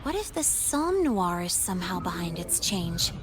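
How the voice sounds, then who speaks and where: A woman playing a composed, thoughtful young investigator in a video game, calm and pondering. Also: lowish voice, moderate pace, background noise. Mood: pensive. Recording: music under the voice.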